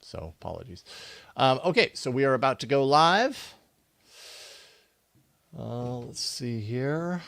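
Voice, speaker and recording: A man hosts a live podcast in an informal, chatty way. His pitch sits in an average male range, and the sound is dry with no room around it. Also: sing-song, takes it slowly, gravelly, long pauses, fairly bright tone, no room sound. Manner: informal, conversational. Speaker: podcast host. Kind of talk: casual chat, live show hosting.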